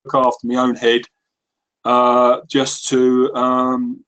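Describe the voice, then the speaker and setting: A man's voice: mid-range voice, completely flat delivery, slow pace, fairly bright tone, low-quality recording. A man chatting reflectively on a conference call, hesitant and searching for his words. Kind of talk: reflective personal chat.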